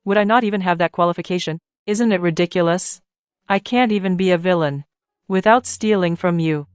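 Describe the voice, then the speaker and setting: Bitter cornered young schemer, a woman doing her, smooth clear voice, dry studio sound. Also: fairly low pitch, fairly quick, no room sound, natural voice. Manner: pleading, bitter and self-pitying. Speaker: scheming woman faking a pregnancy. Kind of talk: dubbed short drama acting.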